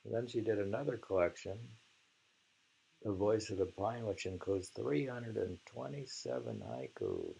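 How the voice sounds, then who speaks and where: An older man reads poems and literary commentary aloud, haltingly, pausing to murmur and muse between lines. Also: lowish voice, sing-song, creaky, mellow, husky, rough recording. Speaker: reader of haiku and commentary. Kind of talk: reading poems and literary criticism aloud with informal asides.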